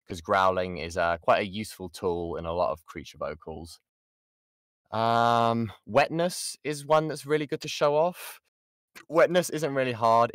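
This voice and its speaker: A man's voice: fairly low pitch, a bit bright, no room sound, studio quality. A British man giving an informal voice acting lesson and answering questions, often restarting his sentences and stressing key points. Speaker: voice actor giving a workshop. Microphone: close mic on an online voice chat.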